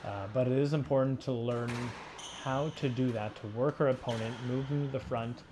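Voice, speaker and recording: A man's voice: mid-range voice, steady tone, normal speed. Male squash coach over noisy court ambience. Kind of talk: instructional sports commentary over drill footage.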